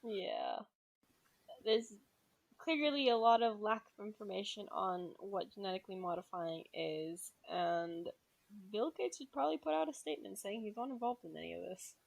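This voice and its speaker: A woman co-hosting a casual podcast, giggly and relaxed in her brief replies. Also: medium pitch, normal speed, vocal fry, muffled recording. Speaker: podcast co-host.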